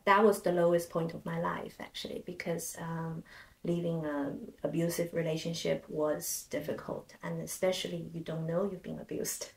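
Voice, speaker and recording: Gravelly-voiced woman co-host, distant and echoey. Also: lowish voice, level tone, moderate pace. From China. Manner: soft, hesitant, laughs easily. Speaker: podcast co-host. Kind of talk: casual podcast conversation. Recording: echoey room, set back from the mic.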